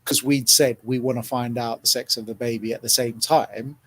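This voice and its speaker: A man's voice: mid-range voice, steady tone, normal speed, fairly bright tone. A man hosting a podcast, chatting casually and telling stories with frequent laughter. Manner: chatty, storytelling, laughs often. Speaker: podcast host. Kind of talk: casual chat.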